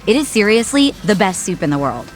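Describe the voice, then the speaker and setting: An actress voicing a spirited, candid, sassy young woman in an English-dubbed video game, with frank, expressive, casual banter. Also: medium pitch, fairly quick, soft background music, lightly acted. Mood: warm, earnest.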